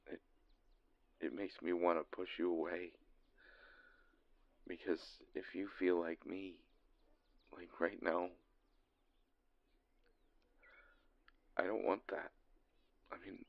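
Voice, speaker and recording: A man performing an intimate audio roleplay as a lovesick, insecure boyfriend, halting and breathy with a gravelly voice, often sinking into whispers. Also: deep voice, no room sound, muffled recording. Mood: pained, pleading. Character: lovesick, insecure boyfriend longing for his distant partner. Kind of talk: scripted intimate romantic monologue.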